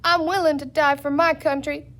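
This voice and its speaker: A woman's voice: high voice, steady tone, moderate pace, a bit bright, pitched above the performer's own voice. A woman voicing a thin-voiced, grandly self-sacrificing little green chief who makes pompous speeches in a children's story. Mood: noble, defiant.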